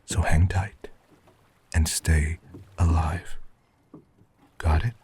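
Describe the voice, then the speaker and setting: A man plays a gruff, cautious accomplice in an audio drama, over clear background noise. His voice is deep and dark, hushed and unhurried as he gives terse instructions. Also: level tone, heavily acted. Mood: urgent, hushed. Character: gruff, cautious male accomplice planning a covert break-in.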